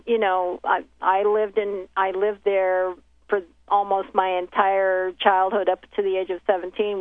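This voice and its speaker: A woman's voice: medium pitch, dry recording. A woman who grew up in Haiti with Haitian Creole as her first language, speaking as an interview guest over the phone. She rambles through thoughts with many restarts and fillers, her voice thin and tinny, dry with no room around it. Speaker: guest journalist. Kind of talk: casual interview talk.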